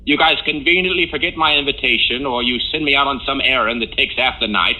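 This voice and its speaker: A man's voice: mid-range voice, fast, pushed and bright, low-quality recording. A man playing a smug, petulant show announcer in a campy horror-comedy audio drama, speaking theatrically. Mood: indignant. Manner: theatrical, indignant. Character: gleeful, aggrieved male announcer, campy and petulant.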